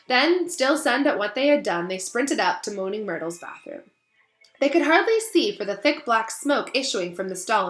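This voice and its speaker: A woman reading a children's novel aloud in expressive storybook narration. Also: mid-range voice. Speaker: storybook reader. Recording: roomy echo, faint music behind.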